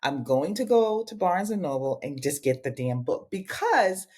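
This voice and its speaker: A woman's voice: normal speed, husky. A woman hosting a casual talk show with a co-host, chatting animatedly and swearing freely, from Rhode Island. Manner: animated, swears casually, big pitch jumps. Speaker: podcast host. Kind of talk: casual chat.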